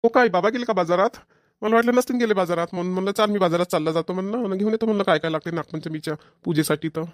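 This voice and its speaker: A man plays a village husband in a Marathi cartoon comedy, talking quickly in a rural Vidarbha accent. The delivery is colloquial and the sound is dry and close. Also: sits high, no echo, natural voice. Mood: dutiful. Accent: Maharashtrian, rural Vidarbha Marathi. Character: village men, dutiful sons and put-upon husbands.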